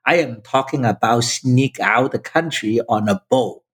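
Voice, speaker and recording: Man in his fifties, native Chinese speaker, husky voice, emphatic storytelling, podcast guest. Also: mid-range voice, unhurried. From Vietnam. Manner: emphatic, addresses host directly. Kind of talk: personal storytelling in conversation.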